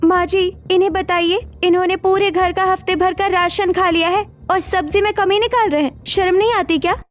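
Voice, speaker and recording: A woman's voice: very high voice, fairly even intonation, moderate pace. A woman voicing an overworked, exasperated young daughter-in-law in a cartoon, complaining indignantly.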